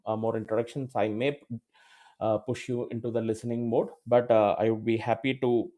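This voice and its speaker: A man's voice: lowish voice, little pitch movement, brisk, warm tone. A man hosting an online developers meetup, inviting people up to speak, with many hesitations and fillers. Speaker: meetup host.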